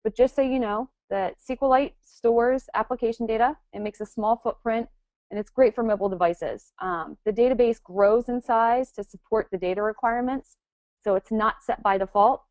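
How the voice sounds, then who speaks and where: A woman's voice: a little high, moderate pace, a little mellow, dry recording. A woman with a cold presenting a technical forensics webinar as the instructor, explaining step by step with frequent "um" fillers. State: has a cold.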